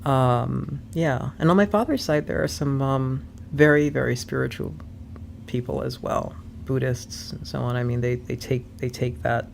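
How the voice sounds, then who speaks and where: A woman's voice: a bass voice, steady tone, normal speed, creaky, a little hiss or hum. A woman guest, a personal development coach, talking casually with lots of ums and easy laughter. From born in Vermont, raised abroad. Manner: chatty, frequent ums, laughs easily. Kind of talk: casual conversation.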